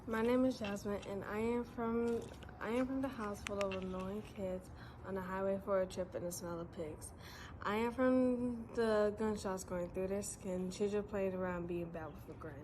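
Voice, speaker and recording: A young girl student reading her own poem aloud, steady and careful. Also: mid-range voice, normal speed. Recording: faint background noise.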